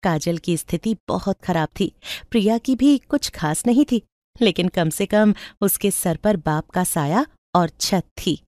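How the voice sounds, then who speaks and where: A woman's voice: fairly low pitch, a little slow, a little mellow, no echo, studio quality. A woman reading scripted storybook narration.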